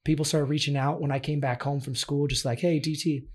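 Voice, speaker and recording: A man in his late twenties, a youth sports coach, slightly raspy from yelling, telling his story earnestly in a casual interview. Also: fairly high pitch, little pitch movement, fairly quick. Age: 20s.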